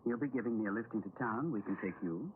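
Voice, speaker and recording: Actor as low-voiced insistent stranger, tinny old radio. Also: male voice, quick pace, natural voice. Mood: calm insistence. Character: blind stranger man, insistent, softly reassuring, faintly unsettling. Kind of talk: old radio drama acting. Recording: tinny, faintly noisy old radio recording.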